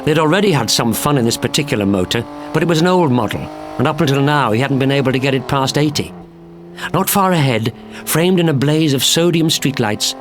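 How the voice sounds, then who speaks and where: Higher-pitched man narrating a thriller. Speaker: audiobook narrator. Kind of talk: dramatic scripted thriller narration.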